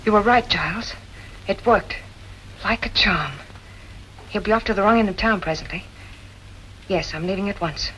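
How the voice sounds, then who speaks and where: A woman's voice: medium pitch, normal speed, long pauses, fairly bright tone, lightly acted, rough recording. An actress playing a sly female crook who poses as a shop girl in an old detective drama. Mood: sly, conspiring. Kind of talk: old-time detective film acting. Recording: old soundtrack with background noise.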